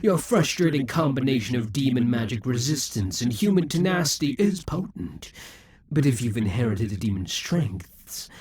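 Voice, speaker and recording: A man voicing a proud, vengeful male demon king centuries old, speaking slowly and theatrically in an audio roleplay, with hissing whispers at times. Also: mid-range voice, a little mellow, no room sound, exaggerated voice. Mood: gloating. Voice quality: very husky, breathy.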